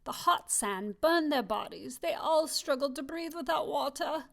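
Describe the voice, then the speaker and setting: High-voiced woman reading a children's story. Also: creaky. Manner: expressive, slowing down for emphasis. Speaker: storybook reader. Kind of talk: children's story read-aloud.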